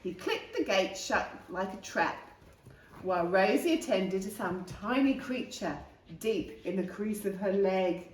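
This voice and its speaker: Female picture-book reader, lively swooping intonation, chatty asides to kids, echoey room. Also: mid-range voice, unhurried, slight background noise. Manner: animated, exclaiming, asks the listeners questions. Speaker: storytime reader. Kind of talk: expressive read-aloud of a children's picture book with asides to young listeners. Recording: roomy, echoing room sound.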